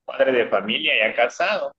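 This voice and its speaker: A man's voice: mid-range voice, expressive, normal speed, pushed and bright. A man, a catechist, greeting the group formally with blessings over a video call. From Guatemala. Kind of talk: formal church-style greeting. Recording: dull lo-fi video call audio.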